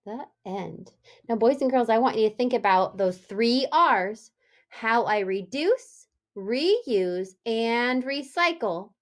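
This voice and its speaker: Female elementary teacher, animated read-aloud for young children, slightly high pitch, very slow deliberate delivery, question-led.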